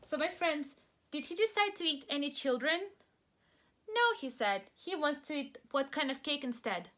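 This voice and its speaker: High-voiced woman reading aloud to preschoolers. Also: expressive, normal speed, bright, forceful tone, faint music behind. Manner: simple, encouraging, addressing young children. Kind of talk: children's read-aloud with questions to listeners.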